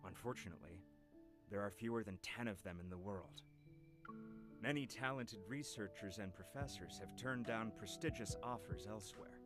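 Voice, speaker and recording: An actor playing a measured, cooperative university researcher in a video game, explaining things formally. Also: male voice, on the low side, music in the background, lightly acted. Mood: measured, explanatory. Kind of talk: scripted game voice acting.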